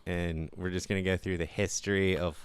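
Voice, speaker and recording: Low-pitched man, smooth resonant voice, casual podcast co-host, conversational. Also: level tone, a little fast, no room sound. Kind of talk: casual gaming podcast chat.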